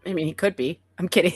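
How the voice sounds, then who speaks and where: A woman co-hosting a casual talk stream, opinionated and quick to joke. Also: medium pitch, a little mellow, breathy edge, no echo. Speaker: podcast co-host. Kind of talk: casual chat.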